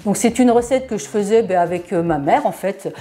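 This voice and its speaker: A woman's voice: mid-range voice, fairly quick, dark tone. A middle-aged woman from the Ardennes showing a family recipe in her home kitchen, chatty and hesitant with lots of fillers. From Ardennes, France. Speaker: home cook host. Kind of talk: casual cooking demonstration.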